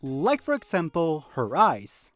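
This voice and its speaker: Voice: medium pitch, wildly swooping pitch, slow, no room sound, faint music behind. A video essayist narrating a scripted fandom video in a conversational way, with rhetorical questions and wry asides.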